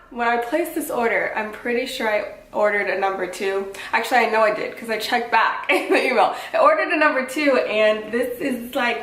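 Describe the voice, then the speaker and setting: A fairly high-voiced woman, stuffy-nosed from a cold, talks through a casual beauty review. She sounds cheerful and a little apologetic, peppering her speech with fillers. The room echoes around her, and she sits at some distance from the mic. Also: fairly bright tone. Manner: upbeat and apologetic, filler-heavy with lots of "like" and "um". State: congested from a cold. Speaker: beauty vlogger reviewing a wig. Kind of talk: casual chatty product review. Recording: roomy echo, voice from a distance.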